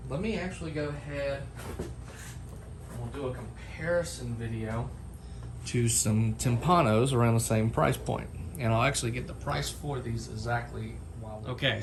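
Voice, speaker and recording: Casual man, noisy recording. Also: mid-range voice. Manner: informal, folksy, unscripted. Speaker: product reviewer. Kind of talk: casual unboxing and comparison vlog.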